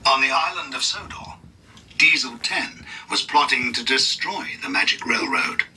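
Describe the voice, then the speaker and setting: A man's voice: mid-range voice, measured pace, sharp tone, recorded from a distance, low-quality recording. A man narrating a scripted children's read-along story.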